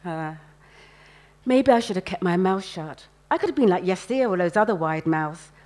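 A woman's voice: on the low side, animated, moderate pace, a little mellow, natural voice. A woman voicing a love-struck, nervous sixteen-year-old London boy in a colloquial, inward, eager way for a novel reading.

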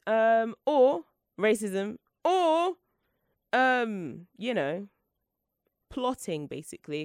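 A woman's voice: medium pitch, dry recording. Young woman with a stuffy flu voice, rambling playful podcast chat, dry close mic. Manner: chatty, playful, self-mocking. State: congested from flu. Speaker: podcast host.

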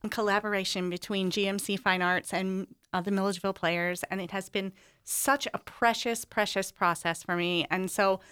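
A woman with a smooth, clear voice of medium pitch, recorded dry in a studio. She speaks enthusiastically as a radio guest giving an invitation. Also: fairly even intonation, normal speed, few pauses, fairly bright tone, no echo. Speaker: stage director as interview guest. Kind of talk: spoken invitation in a radio interview.

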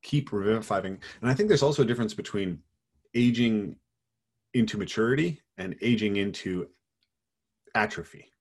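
A man's voice: lowish voice, normal speed, long pauses, a little mellow, husky. A man in his late thirties talking reflectively in casual conversation, often checking in with "right?". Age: 30s. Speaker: parkour practitioner.

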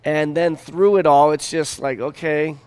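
A man in his fifties with a smooth, clear voice talks in a casual interview as a chef and restaurant owner. His way of speaking is loose, slangy and conversational. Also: a little high, steady tone, a little slow, no room sound. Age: 50s. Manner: informal, slangy. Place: downtown Denver venue.

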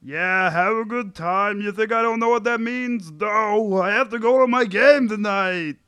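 A man's voice: high-pitched, unhurried, pushed and bright, clear, resonant tone, dry recording. A man playing a whiny, put-upon sitcom husband and father in a comedic table read, with an exasperated, pleading delivery. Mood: defensive, exasperated.

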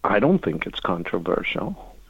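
A man with an Israeli accent, a professor answering questions at a measured, explanatory pace over the phone. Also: deep voice, very animated, creaky, husky, dry recording. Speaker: guest professor. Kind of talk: phone-in interview. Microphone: telephone line. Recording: tinny phone line.

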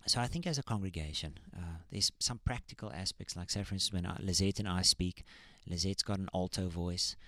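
A man who leads church worship, talking in an earnest, thoughtful way with frequent pauses and ums. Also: bright, forceful tone, no echo, clean studio sound. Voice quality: high tenor singing voice. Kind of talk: casual church podcast chat.

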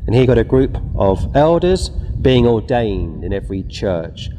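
A man preaching outdoors with a dark, mellow voice. He reads scripture and drives his warnings home with emphasis. His words trail off into a long, ringing echo. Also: mid-range voice, big pitch swings, moderate pace, slight background noise. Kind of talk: outdoor sermon. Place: outdoors before scenery.

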